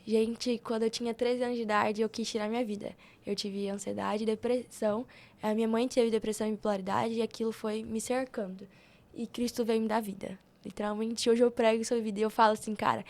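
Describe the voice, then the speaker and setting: A woman's voice: on the high side, level tone, brisk, a little mellow. A young Brazilian woman telling personal stories casually as a podcast guest, slangy and often retelling conversations as dialogue. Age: young adult.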